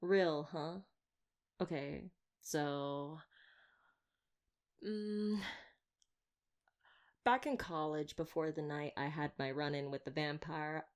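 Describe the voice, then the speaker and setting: Girl acting as a chatty vampire hunter lady, kinda low voice, teasing n sardonic but caring, asmr roleplay drama.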